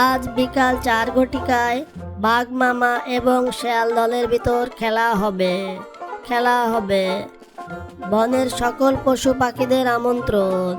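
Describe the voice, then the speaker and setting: A woman voicing a cheeky, crafty young fox with an excitable, taunting manner in a cartoon. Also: on the high side. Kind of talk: cartoon character voice acting.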